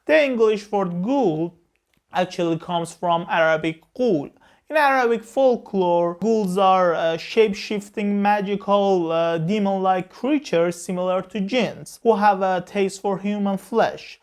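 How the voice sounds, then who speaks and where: Slow-speaking woman host. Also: medium pitch, a little mellow. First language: Persian. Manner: measured, lecturing delivery with frequent "uh" pauses. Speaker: film review host. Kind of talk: explanatory film review talk.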